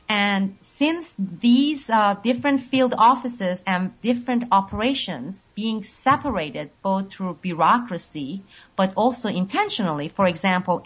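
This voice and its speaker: Woman on a muffled call. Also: mid-range voice. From Turkey. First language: Turkish. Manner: measured, explains at length with many pauses. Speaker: interview guest. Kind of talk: long recorded call interview. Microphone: video call. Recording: dull lo-fi call audio.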